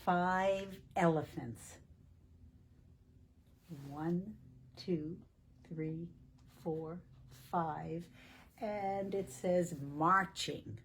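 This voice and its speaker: A woman reading a picture book aloud to young children in a deliberate, teacherly way, asking questions as she goes. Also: fairly low pitch, fairly even intonation, a little hiss or hum. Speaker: children's storytime reader at a library.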